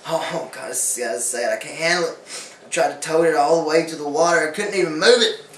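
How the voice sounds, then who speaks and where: A man playing a castaway back from years alone, talking in a folksy way with rough grammar as a talk show guest. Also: on the high side, fairly quick, lightly acted. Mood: dramatic. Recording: echoey room, far from mic, faint hiss.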